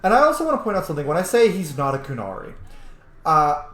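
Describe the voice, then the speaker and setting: Animated, laughing man doing game commentary. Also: fairly high pitch, fast talker, echoey room. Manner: animated, laughing, casual swearing. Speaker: gameplay commentator. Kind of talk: casual gameplay commentary.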